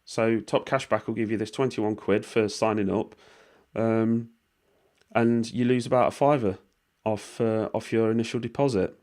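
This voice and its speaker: A British man talks casually through a screen recording, his voice hoarse and worn from a cold. His pitch sits on the low side, and he rambles with plenty of ums and the odd swear word. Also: steady tone, moderate pace, a little mellow. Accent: British English. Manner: rambling and informal, with swearing and many fillers. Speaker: vlogger explaining money-making offers.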